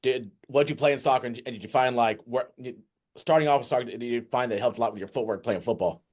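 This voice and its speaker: Quick-talking male coach co-host, tumbling through his questions. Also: medium pitch, a little mellow. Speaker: podcast co-host, receivers coach. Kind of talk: casual interview.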